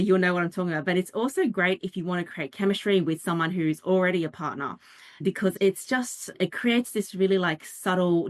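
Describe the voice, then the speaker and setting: A woman leads a dating workshop, chatty and lively, dropping quick asides and acting out little quotes as she explains. Her voice sits a touch low for a woman. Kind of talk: interactive workshop talk.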